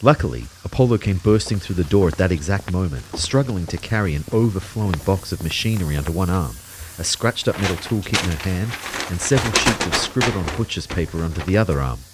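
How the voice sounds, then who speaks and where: A man playing a self-deprecating everyman narrator in an audio drama, telling his story in measured, wry first person. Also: sits low, expressive, background noise. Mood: wry, reflective. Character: male narrator, self-deprecating everyman turned invisible ghost.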